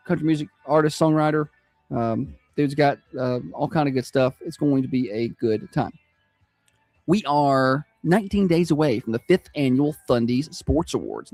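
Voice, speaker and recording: A man with a high-pitched Southern accent, hosting a morning radio show in casual, self-deprecating chat. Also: a little mellow, no room sound. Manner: chatty, self-deprecating, laughs easily. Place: radio studio.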